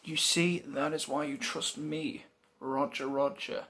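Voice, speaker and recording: A man's voice: fairly high pitch, little pitch movement, measured pace, bright, forceful tone. A young man playing a cocky, self-assured dating guru in a comedy sketch, talking in a chavvy, laddish way. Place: small living room.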